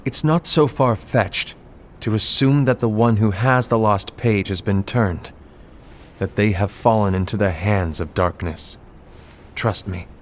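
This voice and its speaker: An actor playing a grave, suspicious leader of a fantasy order in a game, speaking solemnly and formally with deliberate phrasing. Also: male voice, lowish voice, slight background noise, natural voice. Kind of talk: scripted game cinematic acting.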